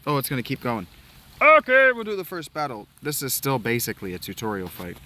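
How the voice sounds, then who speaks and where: A man hosts a game playthrough in casual, irreverent chat, swearing freely and joking around. His voice is bright and animated, with a medium pitch. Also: fairly quick. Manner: goofy, irreverent, swearing, mock-chanting music. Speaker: let's play host. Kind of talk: game playthrough with dramatic reading.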